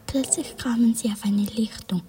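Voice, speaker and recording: A woman's voice: on the high side, steady tone, moderate pace, mellow. A woman whispering a children's story, adding wandering asides.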